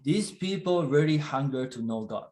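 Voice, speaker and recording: A man delivers a sermon in English, which is not his first language, in a slightly raised pitch. He speaks haltingly, pausing and restarting as he searches for words. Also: expressive, a little mellow. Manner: halting, with frequent fillers and restarts. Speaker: guest church leader. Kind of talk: sermon in English with live interpretation.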